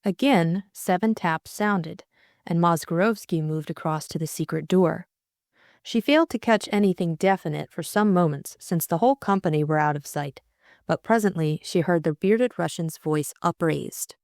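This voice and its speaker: A woman's voice: fairly low pitch, normal speed, a little mellow, no room sound, studio quality. A woman reading a classic mystery audiobook as scripted dramatic narration.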